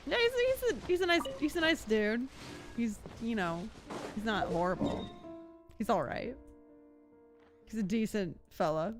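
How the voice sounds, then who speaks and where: A woman's voice: a little high, lively intonation, unhurried, long pauses. A playful, giggly woman giving casual commentary as she streams a video game playthrough. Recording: game music behind the voice.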